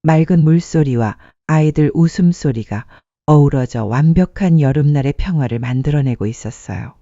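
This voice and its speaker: A woman's voice: sits low, moderate pace, dark tone, no echo, highs cut off. A woman narrating a sentimental story from a script, as a storyteller addressing listeners.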